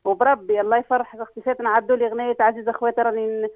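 High-voiced, fast-talking Tunisian woman. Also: little pitch movement, poor audio. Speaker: radio phone-in caller. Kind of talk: earnest chatty advice-giving.

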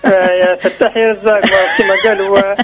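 A man's voice: high voice, level tone, fast, music in the background. A man with a Tunisian accent, calling in to a radio show, chatty and often checking that he is understood. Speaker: radio caller. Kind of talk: phone-in chat. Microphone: telephone.

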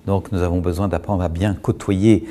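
Man, middle-aged, low voice, warm mellow tone, calm reflective guest talk. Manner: calm, measured, with frequent pauses and restarts. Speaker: guest speaker on emotions.

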